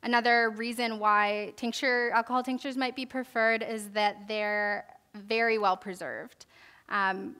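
A woman's voice: medium pitch, bright, forceful tone. A young woman giving an informal herbalism lesson in a church hall, reading from notes and then demonstrating, with many fillers and restarts. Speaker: herbalism class presenter. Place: church hall stage, large indoor room.

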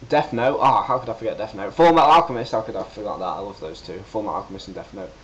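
A young man with a lowish voice talks into a webcam in his living room. He lists favorites eagerly, pausing to think aloud between items. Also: highs cut off. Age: young adult. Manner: enthusiastic listing, thinking aloud. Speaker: fan voice actor. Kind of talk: casual webcam interview answer.

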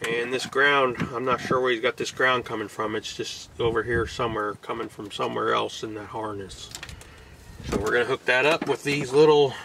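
A man's voice: medium pitch, steady tone, normal speed, gravelly, background noise. A man in his forties giving a casual hands-on install tutorial, recorded on a camera mic inside a parked car. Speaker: DIY car vlogger. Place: inside a parked car outdoors, door open.

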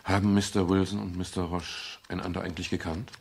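Gravelly, low-voiced private detective. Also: male voice, level tone, fairly quick, darkish tone, exaggerated voice. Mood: questioning. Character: weary male private detective, polite but persistent. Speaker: actor, lead and narrator. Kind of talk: 1970s German radio crime drama acting.

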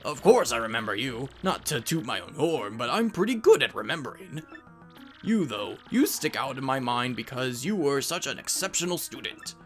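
A man voicing an absent-minded, excitable elderly professor creature in a video game, halting and muttering, then bursting into exclamations. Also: medium pitch, soft background music, close to an everyday voice. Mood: muttering, pondering.

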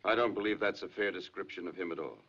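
An actor playing a troubled, hurt married father in a 1950s film drama, speaking in a halting, polite, restrained way. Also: low voice, fairly bright tone. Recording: dull, lo-fi 1950s film soundtrack.

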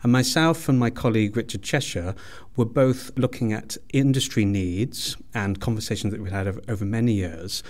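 A man's voice: fairly low pitch, moderate pace. A man speaking as an academic guest in an interview, giving explanatory answers. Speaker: university head of department, interview guest.

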